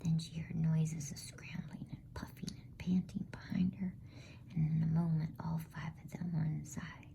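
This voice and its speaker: A woman reading a bedtime story in a hushed, breathy ASMR whisper. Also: low-pitched, little pitch movement, moderate pace. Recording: faint background noise.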